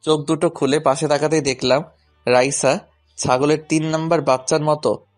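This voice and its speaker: A man's voice: on the high side, fairly even intonation, normal speed, soft background music. A man narrating a scripted romantic story in the first person, as its male lead.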